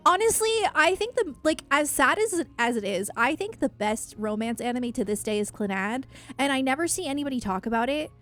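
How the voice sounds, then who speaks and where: A woman's voice: high voice, lively intonation, normal speed, fairly bright tone, faint music behind. A woman chatting casually on a livestream, rambling and effusive, with a self-deprecating way of talking as she answers her viewers.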